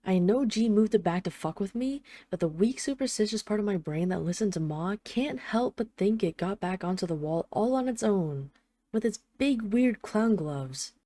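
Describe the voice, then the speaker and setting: A woman's voice: medium pitch, expressive, warm tone, dry recording, natural voice. A woman playing a tough but tender, jealous mafia boss, speaking intimately to an absent partner in a scripted audio roleplay voicemail. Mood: wry, exasperated. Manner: intimate, halting, sweary, addressing an absent lover.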